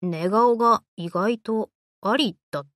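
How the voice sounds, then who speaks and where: A woman voicing a brash, tomboyish young warship girl in an anime game, talking in rough, cocky, casual boyish slang. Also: mid-range voice, unhurried, dry recording, studio quality, close to an everyday voice. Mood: drowsy. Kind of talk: Japanese anime game voice lines.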